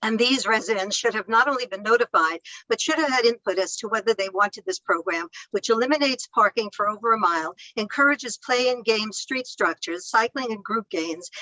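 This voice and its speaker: A woman reads a formal statement at a community board meeting, firm and indignant. Her voice is bright and tense, and the sound is dry and close. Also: mid-range voice, dry recording, low-quality recording. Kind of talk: reading a prepared statement.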